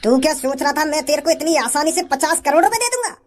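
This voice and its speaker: A protective cartoon dad played by a woman with a high-pitched voice. He is hot-headed and emphatic, a rich man fiercely attached to his child. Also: expressive, fast, slight background noise. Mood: defiant, angry. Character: wealthy protective father, hot-headed and defiant. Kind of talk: comic cartoon dialogue.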